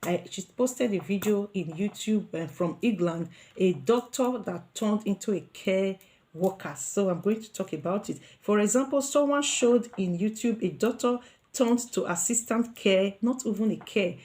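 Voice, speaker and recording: A woman's voice: mid-range voice, normal speed, darkish tone. Nigerian woman, middle-aged, vlogger, earnest, repetitive, filler-heavy motivational talk.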